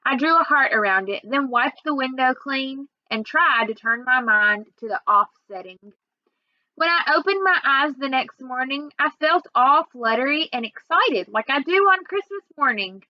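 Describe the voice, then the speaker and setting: A woman reading a children's novel aloud in an expressive storytelling way. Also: high voice, normal speed, no echo, highs cut off. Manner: expressive, acts out dialogue. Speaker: reader.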